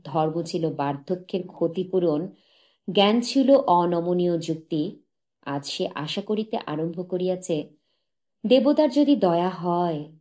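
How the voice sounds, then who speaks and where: A woman reads literary fiction for an audiobook. Her voice is on the low side and distinctly dark and relaxed in tone. The delivery is measured and solemn. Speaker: audiobook narrator. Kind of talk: scripted literary story narration.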